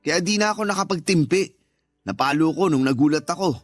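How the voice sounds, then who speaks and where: Man acting a high-voiced, callous, irritable husband. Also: animated, brisk, faint music behind. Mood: defensive, irritated. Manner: dismissive, curt, defensive. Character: callous, irritable husband who resents his stepchild. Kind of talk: Tagalog radio drama acting.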